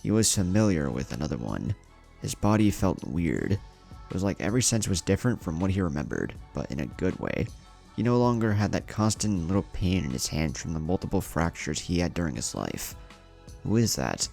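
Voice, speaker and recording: A man's voice: deep voice, big pitch swings, brisk, creaky, a little mellow, soft background music. A man reading a story as narrator, in a dramatic scripted narration style. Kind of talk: dramatic narrated fan fiction reading with character dialogue.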